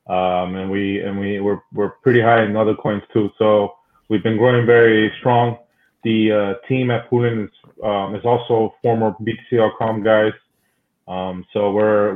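A man whose first language is Spanish, talking in an easygoing way as a guest in a casual podcast interview. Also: fairly low pitch. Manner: talkative, easygoing. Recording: tinny phone-like line.

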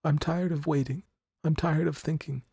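A man voicing a sick young woman who speaks softly and wearily, raised in pitch from his own voice. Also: steady tone, moderate pace, gravelly, breathy edge, dry recording, studio quality, heavily acted. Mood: weary. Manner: soft, quiet, resigned. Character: gravely ill young woman, weary and hopeless.

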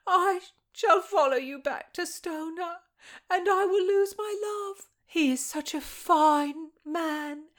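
A woman voicing a tearful, lovestruck young maidservant in a storybook reading, with a high theatrical delivery. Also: slow, fairly bright tone, exaggerated voice. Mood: tearful, lovesick.

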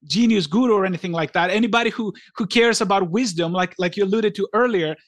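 Halting high-voiced man from Greece. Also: dry recording. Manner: halting, many restarts and fillers. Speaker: podcast co-host. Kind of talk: casual chat.

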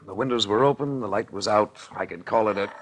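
A man's voice: lowish voice, quick pace, lightly acted. An actor playing a wry, world-weary male investigator in a 1940s radio drama, speaking in a dry, sardonic, unruffled way. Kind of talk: 1940s radio drama acting and first-person narration. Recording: noisy old 1940s radio recording.

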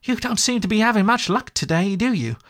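An excitable male water rat shrieks his cries in a high, shrill voice, as a man puts him on for a storybook. His delivery leaps up and down with impatient energy. Eager and bossy, he keeps urging his friend on. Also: brisk. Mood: kindly. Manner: impatient, triumphant exclamations.

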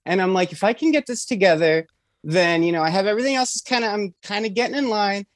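A woman's voice: mid-range voice, fast talker, dry recording, studio quality. A woman in her thirties asking for advice, hesitant and self-deprecating, laughing often as she talks. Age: 30s. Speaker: caller seeking advice. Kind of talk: casual chat.

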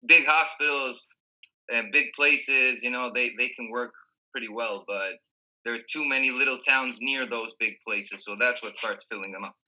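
A man whose first language is Spanish, a guest chatting casually over a call from home, relaxed and restarting his words. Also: mid-range voice, moderate pace, rough recording. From Rio de la Plata region. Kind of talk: casual remote interview chat. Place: home in Spain.

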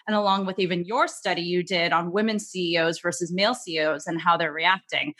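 A woman's voice: fairly low pitch, steady tone, fairly quick, a bit bright. A woman hosting an interview, asking questions with a teasing, playful manner. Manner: teasing, laughs easily. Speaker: podcast host. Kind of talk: casual interview.

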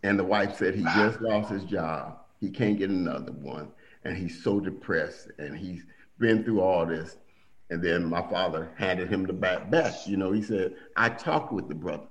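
A middle-aged man telling family stories in a casual conversation, animated and acting out quotes. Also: moderate pace, poor audio. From Milwaukee. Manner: animated storyteller, acts out quotes with big pitch jumps.

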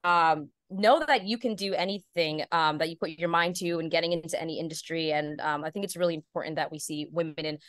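A woman's voice: low-pitched, fairly even intonation, fairly quick, hardly any pauses, a bit bright, no echo, studio quality. A woman speaking as an interview guest, giving earnest, upbeat answers in a conversational way. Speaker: podcast guest, PA announcer and voice actor.

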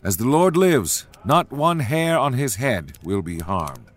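A man voicing a wise, stern old king in a storybook retelling, speaking slowly and deliberately. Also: mid-range voice, very animated, pitched above the performer's own voice. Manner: slow and deliberate.

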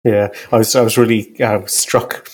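A man's voice: on the low side, level tone, moderate pace, husky. A man interviewing a guest conversationally, asking wordy questions that circle and correct themselves. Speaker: podcast interviewer.

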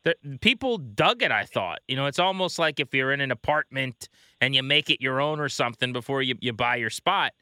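A man's voice: medium pitch, animated, a little fast, pushed and bright, clean tone, no echo. An American man hosting a baseball show, interviewing in a casual, conversational way.

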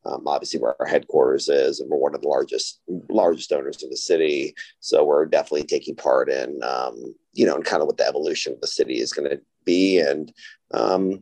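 A man with an extremely low voice answers as an interview guest. He talks conversationally, circling through asides and pauses. The recording is dry and close. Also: gravelly, a little mellow, breathy edge, no echo. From Austin, Texas. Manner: hesitant phrasing, frequent "you know" asides. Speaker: interview guest, real estate company founder.

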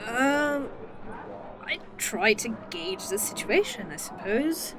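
A woman's voice: fairly high pitch, very animated, background noise. An actress playing a snarky, teasing woman time traveler with a fake arm in an indie audio drama. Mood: thoughtful. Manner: dry, teasing, quick-witted.

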